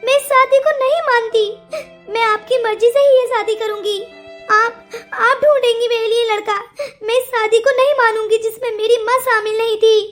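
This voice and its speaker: Woman voicing a very high, pleading young daughter. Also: steady tone, normal speed, fairly bright tone, soft background music. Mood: distraught, pleading. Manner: emotional, pleading. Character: distraught young bride, devoted, pleading daughter. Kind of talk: melodramatic cartoon story acting.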